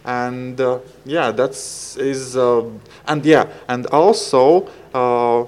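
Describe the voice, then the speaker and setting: A man's voice: mid-range voice, steady tone, extremely slow, darkish tone, clean tone. A man with a Latvian accent, a visiting teacher answering interview questions in a hesitant way, searching for words and using many fillers. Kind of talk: casual radio interview.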